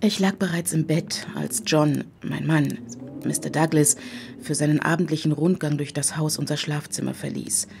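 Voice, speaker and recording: A grieving widow and lady of the manor, speaks in a very low, creaky voice. She stays formal and guarded, in polished radio play style. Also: fairly even intonation, moderate pace, noisy background. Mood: grieving, subdued.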